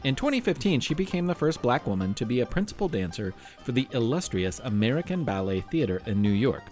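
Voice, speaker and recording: A man narrates for young listeners with highly animated, swooping intonation. His delivery is playful and theatrical, dotted with wry asides, and faint music plays underneath. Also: fairly quick. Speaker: podcast host. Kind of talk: scripted narration for a children's history and quiz show.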